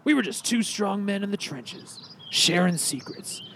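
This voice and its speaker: A man's voice: sits high, animated, a little slow, fairly bright tone, a little hiss or hum, exaggerated voice. A man playing an earnest, sentimental older ex-policeman who works as a school official in a comedy cartoon, speaking in a wordy, dramatic way. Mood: flustered, sentimental.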